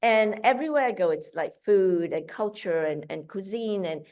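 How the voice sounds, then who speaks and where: A woman from Malaysia speaking in an interview as a guest. Her talk is animated and full of fillers and restarts. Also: mid-range voice, moderate pace. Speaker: guest, founder of a kids' cooking program.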